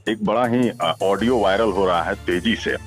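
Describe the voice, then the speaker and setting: Low-voiced man, polite news interviewer. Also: moderate pace, faint music behind. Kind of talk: phone interview with frequent acknowledgements.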